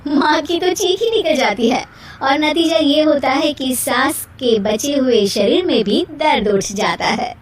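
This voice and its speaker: A woman's voice: high voice, unhurried, background noise. A woman storyteller reading scripted narration for a moral tale.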